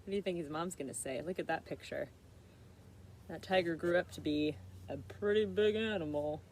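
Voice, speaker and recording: A woman's voice: fairly low pitch, moderate pace, a little mellow, a little hiss or hum. A woman chatting casually as a storyteller reading to children, putting questions to her listeners. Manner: conversational, asks listeners questions. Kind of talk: casual interactive read-aloud chat.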